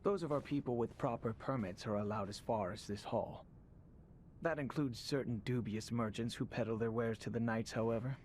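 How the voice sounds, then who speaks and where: An actor playing a courteous, formal young crown prince in a video game, speaking in stately, polite phrases. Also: male voice, mid-range voice, moderate pace, darkish tone, a little hiss or hum, natural voice. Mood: courteous, composed. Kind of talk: video game cutscene acting. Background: music.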